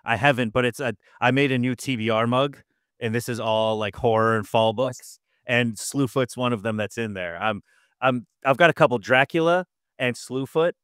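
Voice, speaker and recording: Relaxed Massachusetts-born host, smooth voice. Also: male voice, medium pitch, normal speed, no echo, studio quality. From Massachusetts, Nantucket. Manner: relaxed, chatty storytelling. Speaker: podcast host. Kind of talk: casual podcast conversation.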